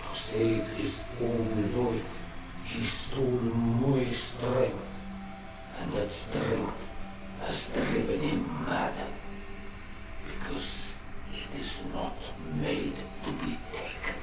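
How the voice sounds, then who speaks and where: A man's voice: medium pitch, flat delivery, very slow. An actor playing an ancient, wounded male nature spirit in a game, speaking solemnly and portentously in broken phrases. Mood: grave, foreboding. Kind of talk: slow dramatic game voice acting. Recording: cavernous echo, distant, music underneath.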